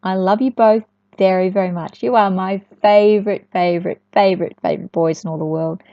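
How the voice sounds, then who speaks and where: A woman's voice: mid-range voice, fairly even intonation, fairly quick, no echo, lo-fi sound. A woman telling a picture-book story to young children, with chatty asides and words repeated for emphasis.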